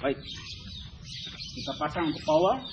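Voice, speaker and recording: A man's voice: fairly high pitch, animated, normal speed. A man with an Indonesian accent hosting a vlog, giving an informal, instructive hands-on explanation to camera. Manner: instructive, often tagging sentences with "ya". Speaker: vlog host demonstrating his radio gear. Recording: slightly muffled, clearly noisy.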